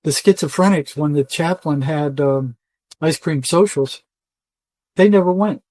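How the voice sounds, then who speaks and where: Older male interviewee, slightly high voice, dry close sound, folksy and emphatic storyteller. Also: measured pace, warm tone, no room sound. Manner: emphatic, colloquial, often quoting imagined dialogue. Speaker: interview guest, retired psychotherapist.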